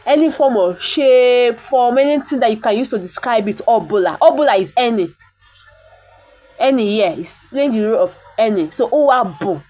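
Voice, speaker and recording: A woman's voice: fairly high pitch, a little mellow. A woman, an Igbo speaker, teaching the language by reading a bible verse and repeating words for pronunciation. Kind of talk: reading and explaining a bible text.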